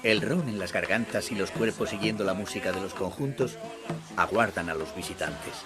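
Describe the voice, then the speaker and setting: A man reading scripted documentary narration in a measured, descriptive way. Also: sits low. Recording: voice-over with loud music underneath. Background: music.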